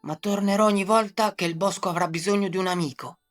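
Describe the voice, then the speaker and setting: A woman voicing a small, kind and brave male chameleon in a bedtime story, in a high gentle voice. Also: fairly even intonation, fast talker, faint music behind, natural voice. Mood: earnest.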